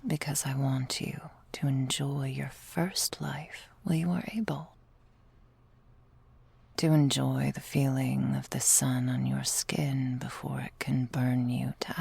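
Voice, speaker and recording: Woman doing a tender vampire girlfriend, super deep breathy whisper, really gravelly, asmr roleplay. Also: darkish tone, no echo, exaggerated voice. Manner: tender, languid, formal old-fashioned phrasing. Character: loving, patient, ancient female vampire girlfriend.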